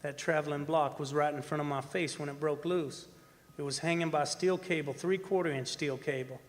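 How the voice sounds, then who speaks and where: An actor playing a haunted, defensive oil rig worker in a live radio drama, telling his eerie tale in a folksy, halting way. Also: on the high side, lightly acted. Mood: hushed, eerie. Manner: folksy, halting, repeating words for suspense. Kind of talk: live radio drama acting, first-person horror narration. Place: performing arts center stage. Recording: stage performance in reverberant hall, music behind.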